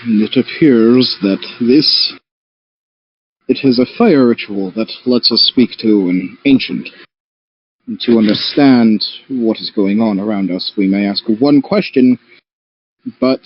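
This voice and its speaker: A man's voice: mid-range voice, slow, no room sound. A man playing a tabletop game, moving between casual chat and talking in character. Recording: dry, dull lo-fi audio.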